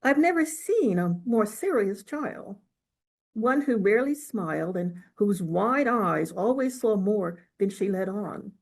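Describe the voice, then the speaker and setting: A woman reading literary fiction aloud in a measured, expressive storytelling manner. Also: medium pitch, gravelly. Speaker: author reading from own novel. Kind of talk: audiobook-style literary reading.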